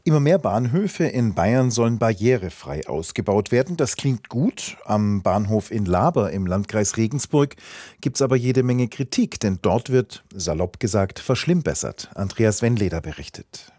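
Mellow, husky male radio news presenter. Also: fairly low pitch, animated, moderate pace, dry recording.

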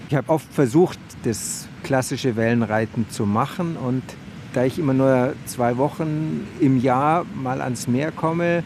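Guy chatting plainly, mid voice, outdoor noise clearly behind. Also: mid-range voice, measured pace. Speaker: interviewee, water sports enthusiast. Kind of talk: casual interview talk.

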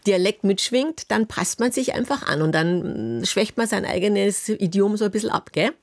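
A woman's voice: medium pitch, normal speed, no echo, studio quality. A woman hosting a radio call-in in a conversational interviewing style. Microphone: close, dry studio microphone.

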